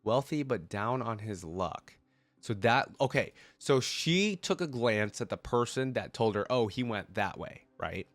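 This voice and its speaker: A man congested with a cold, reading stories aloud and reacting to them casually, thinking out loud to his viewers. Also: mid-range voice, lively intonation, unhurried, no room sound. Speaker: reactor reading game lore aloud and commenting. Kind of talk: casual reaction commentary mixed with story reading.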